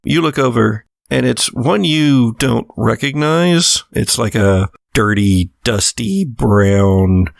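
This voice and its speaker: A man's voice: medium pitch, animated, slow, vocal fry, few pauses, no room sound, studio quality. A man working as game master, narrating a horror tabletop game in improvised, conversational second person with some teasing.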